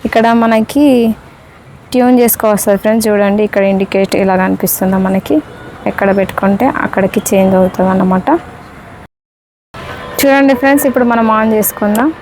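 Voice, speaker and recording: A woman with a Telangana Telugu accent presents a product in a casual unboxing style. Her voice sits at a medium pitch and she keeps checking in with her listeners as friends. Faint ambient noise runs behind her. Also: fast, long pauses, darkish tone, low-quality recording. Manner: repeatedly checks in with listeners, addressing them as friends.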